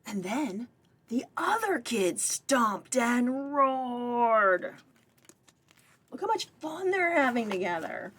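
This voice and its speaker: A woman's voice: fairly high pitch, big pitch swings, slow pace, a bit bright. A woman reading a picture book aloud for story time, expressively, with chatty asides to young listeners. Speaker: library director and former children's librarian reading aloud.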